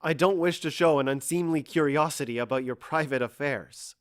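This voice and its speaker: An actor plays a wounded, stoic man in a romantic audio drama. His voice sits a little high for a man. He speaks formally, holding back feeling behind dry irony. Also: a little fast, close to an everyday voice. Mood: cold, hurt. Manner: formal, restrained, dryly ironic. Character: stoic, wounded, sardonic man being left by love. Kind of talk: stage-style audio drama acting.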